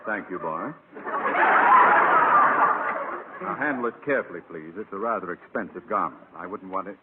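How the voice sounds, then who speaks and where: A man's voice: low-pitched, lively intonation, quick pace. An American man acting as a blustering, irritable middle-aged school principal in a vintage radio comedy, pompous and exasperated. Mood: grumbling. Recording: scratchy, narrow-band old radio recording, loud noise.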